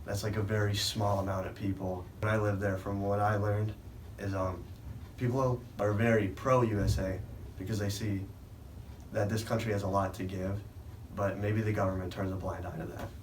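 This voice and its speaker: A man's voice: lowish voice, flat delivery, moderate pace, a little hiss or hum. A teenage boy from Iran speaking hesitantly on a student panel, with many fillers. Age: teens. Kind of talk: casual panel talk. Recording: echoey room, distant mic.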